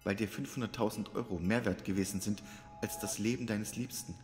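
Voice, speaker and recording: A man's voice: lowish voice, fairly even intonation, a little fast, big-room sound, music in the background, close to an everyday voice. A man voicing a stern, urgent disembodied voice from the void in a horror story. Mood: accusing, stern. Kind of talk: dramatic horror story reading.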